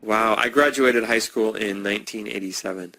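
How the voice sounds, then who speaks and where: A man in his fifties talks conversationally, trading simple questions and answers. His voice sits a bit low, and the recording is dry and close. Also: normal speed, pushed and bright, no room sound, low-quality recording. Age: 50s.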